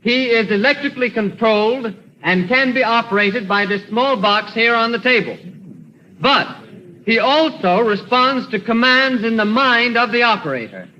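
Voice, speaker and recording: An actor playing an eager, nervous inventor who hesitates and stammers, in 1940s radio acting style. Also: male voice, very high-pitched, expressive, normal speed, pushed and bright, heavily acted. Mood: presenting. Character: eager, nervous middle-aged male inventor. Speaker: inventor presenting his machine. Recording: dull old radio broadcast.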